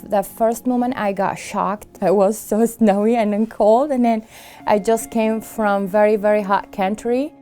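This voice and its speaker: A middle-aged woman with an Iraqi Arabic accent telling her own story in an interview, halting, with many fillers and pauses. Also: mid-range voice, steady tone, warm tone, faint music behind. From Iraq. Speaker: refugee sharing her story. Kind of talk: interview testimony.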